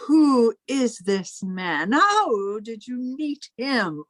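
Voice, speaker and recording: Possessive older sister woman acting, high-voiced and slow, guilt-tripping in theatrical radio-drama style. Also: expressive, a bit bright, no room sound, studio quality, heavily acted. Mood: scornful. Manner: theatrical, reproachful, wheedling. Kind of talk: old-time radio melodrama acting.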